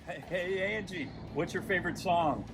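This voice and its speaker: A man chatting loudly and cheerfully with people while out and about. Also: sits high, normal speed, over music. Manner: upbeat, loud and chatty. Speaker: vlog narrator. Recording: outdoor street noise, car ambience.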